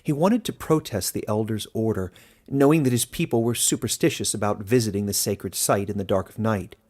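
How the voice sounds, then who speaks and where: A man with a fairly low voice reads fantasy fiction as an audiobook narrator. His delivery is scripted and dramatic, made for storytelling. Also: brisk, darkish tone.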